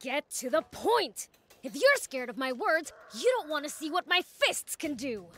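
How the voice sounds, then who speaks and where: A pint-sized demon prince from a video game. His voice is high and very lively in pitch. He is brash and cocky, bossing everyone around with little patience. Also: a little slow, background noise. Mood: impatient. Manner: brash, impatient, bossy. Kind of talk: video game cutscene acting.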